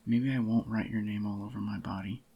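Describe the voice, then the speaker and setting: A man playing a doting, possessive yandere boyfriend in a soft-spoken ASMR roleplay, with a tender, intimate murmur and a husky, slightly groggy voice. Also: on the low side, steady tone, a little fast, highs cut off. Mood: soothing.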